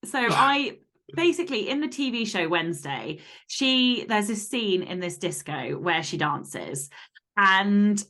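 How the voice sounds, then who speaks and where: A woman's voice: mid-range voice, animated, unhurried, a bit bright. A woman chatting casually as an interview guest, candid and blunt, swearing freely. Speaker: podcast guest and fantasy romance author.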